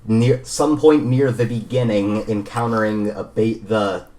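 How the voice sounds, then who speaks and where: A man co-hosting a podcast in casual gaming chat, informal and somewhat halting as he corrects himself. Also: lowish voice, steady tone, mellow. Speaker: podcast co-host.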